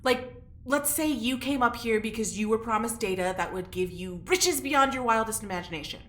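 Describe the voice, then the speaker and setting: An actress playing an anxious, sharp-tongued young woman in a sci-fi audio drama, speaking bluntly and informally. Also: fairly high pitch, moderate pace, natural voice. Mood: scheming, frustrated. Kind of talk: audio drama acting, naturalistic dialogue. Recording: roomy echo, faint background noise.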